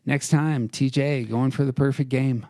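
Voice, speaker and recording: A man's voice: medium pitch, fairly even intonation, normal speed, a little mellow, dry recording, clean studio sound. A man co-hosting a podcast in casual debate, bantering in a self-deprecating way. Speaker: podcast co-host.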